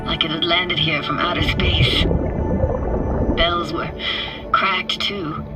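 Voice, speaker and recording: Low, candid young woman techie. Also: fairly even intonation, takes it slowly, loud music behind the voice. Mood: wistful, reflective. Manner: casual, clipped, slangy phrasing. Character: young woman techie, candid, wistful, tough but caring. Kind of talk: video game voice acting.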